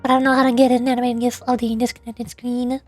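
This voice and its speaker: A woman's voice: on the high side, little pitch movement, fast, a little mellow, faint music behind. A woman streaming a game, chatting casually and playfully with her viewers. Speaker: VTuber streamer. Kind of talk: casual live-stream gameplay chat. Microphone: desk microphone.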